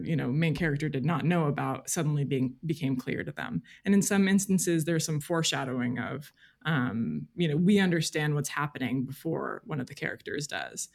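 A woman speaking as a journalist guest in a casual interview, thoughtful and halting, often breaking off into asides. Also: deep voice, vocal fry, darkish tone.

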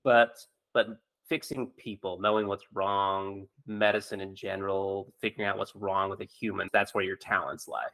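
Friendly low-voiced surgeon host. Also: male voice, little pitch movement, normal speed, few pauses, a bit bright. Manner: friendly, encouraging, jokey. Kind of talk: conversational interview.

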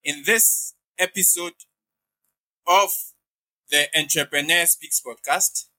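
A man's voice: on the high side, takes it slowly, pushed and bright, faint music behind, low-quality recording. A man hosting a podcast, introducing his guest and asking interview questions in a polite, encouraging manner.

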